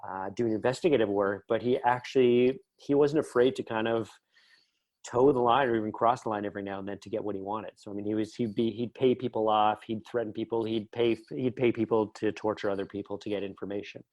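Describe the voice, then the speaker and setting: A man's voice: fairly low pitch, fairly even intonation, a little fast, a little mellow, dry recording. A middle-aged Canadian man chatting casually and enthusiastically as an interview guest, going off on tangents. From Northern Ontario, Canada. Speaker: podcast guest, writer.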